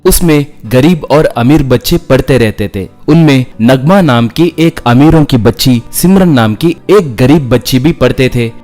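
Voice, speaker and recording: A man's voice: mid-range voice, measured pace, hardly any pauses, darkish tone, faint music behind. A man narrating a scripted moral story as a storyteller, reading out the events in order.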